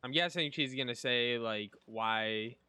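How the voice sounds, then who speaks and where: A man giving casual gameplay commentary, informally reacting and thinking out loud as he plays. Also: medium pitch, unhurried, clean tone. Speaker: gaming content creator. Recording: game music behind the voice.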